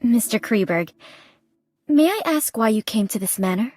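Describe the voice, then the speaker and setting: An actress playing a poised, observant reporter and investigator in a video game, speaking in a measured, analytical, polite way. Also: female voice, fairly high pitch, lively intonation, a little mellow, no room sound. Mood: polite, curious. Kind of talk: scripted game narration and dialogue.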